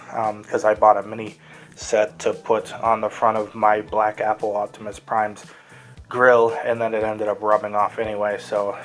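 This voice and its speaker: A man hosting a casual, unscripted toy review, talking in a rambling, easygoing way as he handles the item. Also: lowish voice. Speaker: toy reviewer and host of the show.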